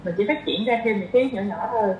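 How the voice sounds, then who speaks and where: A woman's voice: medium pitch, quick pace, darkish tone. A woman podcast guest casually explaining her opinions, often repeating phrases for emphasis. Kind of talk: casual chat, sharing opinions. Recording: roomy echo, dull lo-fi sound, faint noise.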